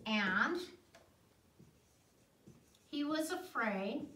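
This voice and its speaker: Female elementary teacher, higher-pitched, unhurried and didactic, asking pupils questions in an echoey room. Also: animated, pushed and bright, a little hiss or hum. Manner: didactic, deliberate, pausing and repeating. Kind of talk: classroom reading lesson with questions to pupils. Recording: roomy echo, distant mic.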